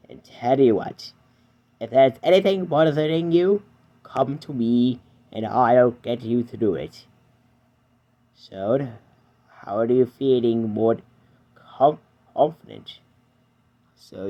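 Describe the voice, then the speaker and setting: A man voicing a calm, patient male engine who counsels a friend in a fan-made cartoon dub. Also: medium pitch, highs cut off. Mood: soothing. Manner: soothing, patient, slow.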